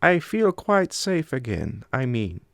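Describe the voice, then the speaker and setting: A man voicing a high-pitched, uneasy male traveler. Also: huge pitch swings, slow, gravelly, no room sound, heavily acted. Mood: uneasy relief. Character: Swedish male travel companion, shaken, eerily relieved. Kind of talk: audiobook horror story character dialogue.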